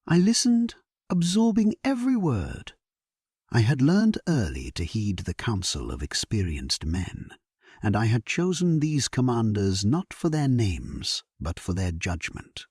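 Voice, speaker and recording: A man narrating a first-person historical sleep story in a solemn, measured, hushed, breathy voice, as a grave and resolute medieval king. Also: mid-range voice, big pitch swings, no echo, clean studio sound.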